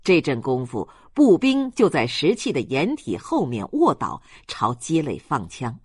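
Husky woman narrating, fairly low, expressive. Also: unhurried, no echo, studio quality. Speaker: audiobook narrator. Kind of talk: scripted novel narration.

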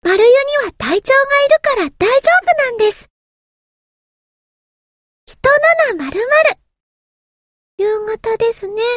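A woman's voice: very high-pitched, lively intonation, a little slow, long pauses, a bit bright, no room sound, clean studio sound, full character voice. A woman voicing a small, timid, earnest anime game submarine girl, with a thin childlike voice and a hesitant, polite manner. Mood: content, gentle. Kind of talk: anime game character voice lines.

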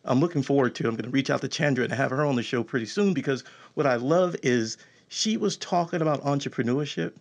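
A man's voice: mid-range voice, fast talker, gravelly, few pauses. A man hosting a casual podcast interview, enthusiastic and full of eager questions. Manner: enthusiastic, excitable, asks many questions. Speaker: podcast host.

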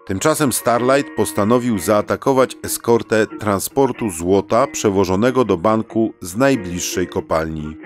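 A male storyteller with a fairly low voice reads scripted storybook narration. Soft plucked guitar music plays faintly behind him.

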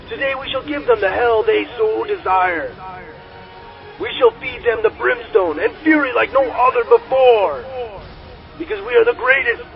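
A man acting a defiant military commander giving a rallying speech in a gravelly voice, through an echoing street loudspeaker. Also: very high voice, heavily acted. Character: defiant, rousing military commander in sci-fi drama. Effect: street loudspeaker echo and slight feedback. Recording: muffled loudspeaker sound over music.

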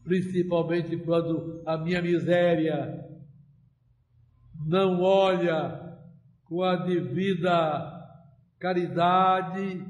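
Very slow, high-pitched Brazilian male preacher. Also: a little mellow, muffled recording. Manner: solemn, deliberate, with long pauses between short phrases. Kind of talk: homily. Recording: roomy, echoing church-like sound.

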